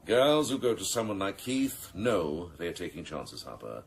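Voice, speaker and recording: A sardonic senior policeman in a radio play. His voice is extremely deep and gravelly, swooping with sneering, theatrical relish as he schemes. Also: very animated, unhurried, exaggerated voice. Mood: gloating cynicism. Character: sardonic, scheming senior police officer, middle-aged man. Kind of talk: radio drama acting.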